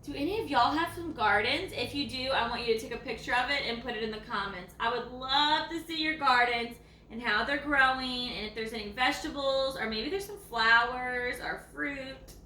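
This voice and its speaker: Lady reading a picture book to kids, high voice, chatty cooing asides, echoey room and a bit far from mic. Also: moderate pace, bright, forceful tone. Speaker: read-aloud storyteller for children. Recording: echoey room, distant mic, faint noise.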